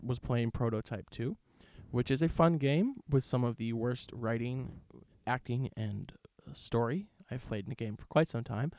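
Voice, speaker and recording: A man co-hosting a podcast, chatting casually about reviews in measured, hesitant phrases. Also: medium pitch, darkish tone, no echo, studio quality. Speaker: podcast co-host.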